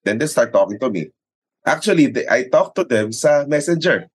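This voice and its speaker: A man's voice: medium pitch, expressive, fairly quick, slightly rough, dry recording. A man with a Filipino accent telling stories in casual conversation as an interview guest, acting out quotes and often checking in with tag questions. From Philippines. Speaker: sports announcer and radio commentator, interview guest. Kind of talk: casual conversational storytelling, mixing Tagalog and English.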